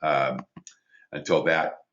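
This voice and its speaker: A man with a big booming voice hosting a live business training call from a small studio, reading out viewer questions and explaining sign-up steps. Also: flat delivery, slow pace, a bit bright, roomy sound, muffled recording, a little hiss or hum. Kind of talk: live webinar training talk.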